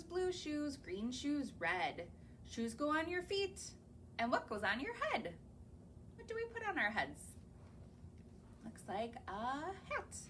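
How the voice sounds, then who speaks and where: A young woman reads picture books to little kids at a slow, unhurried pace. Her pitch swoops high and low as she coaxes them along with questions. Faint noise hums under the camera mic. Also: fairly bright tone. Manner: sing-song, slow, coaxing, asks the children questions. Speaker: children's librarian. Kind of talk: reading picture books aloud to young children. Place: library or home, recorded on camera.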